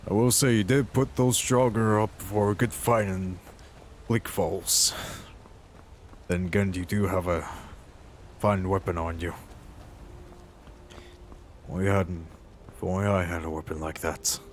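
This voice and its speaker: A man voicing an earnest, wistful mercenary adventurer in his thirties for a fantasy game roleplay, in a halting, reflective way. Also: sits low, little pitch movement, a little hiss or hum. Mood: musing. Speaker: role-playing gamer voicing his character. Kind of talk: in-character fantasy game monologue.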